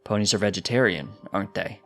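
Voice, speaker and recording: A blunt fantasy village father acted by a male reader from a storybook. He talks dismissively in a fairly low voice, with faint music behind. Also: fast talker, a bit bright. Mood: puzzled. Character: gruff, blunt village husband, goat or minotaur father.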